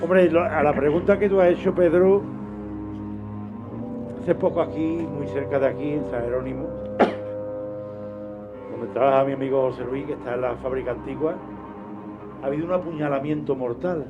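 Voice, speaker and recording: A man's voice: fairly high pitch, fairly even intonation, normal speed, pauses often. A man talking casually in a radio discussion. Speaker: radio panellist. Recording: dull lo-fi radio sound, music behind. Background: music.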